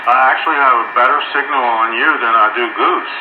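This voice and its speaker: A man's voice: mid-range voice, a little fast. A man making casual banter over a two-way radio as an operator. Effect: two-way radio transmission. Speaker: amateur radio operator on air. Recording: muffled, echoey radio with heavy static.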